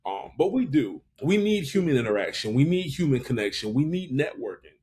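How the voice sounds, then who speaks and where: Man in his twenties, podcast guest, mid-range voice, thoughtful and halting, plenty of restarts; works as a candid interviewee. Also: medium pitch, very animated, a little mellow. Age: 20s. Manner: hesitant, thoughtful, frequent restarts and fillers. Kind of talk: casual reflective interview talk.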